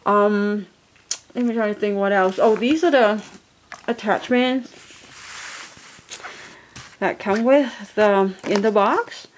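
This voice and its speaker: A woman's voice: mid-range voice, slow pace, long pauses, a little hiss or hum. Chatty woman reviewing a gadget. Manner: chatty, rambling, many fillers and restarts. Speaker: product reviewer. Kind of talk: casual product review.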